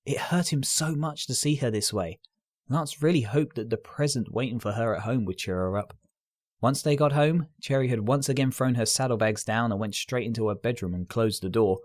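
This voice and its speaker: A man reading a scripted story aloud as narrator, in an expressive, earnest and dramatic storytelling style. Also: mid-range voice, moderate pace, no echo, clean studio sound.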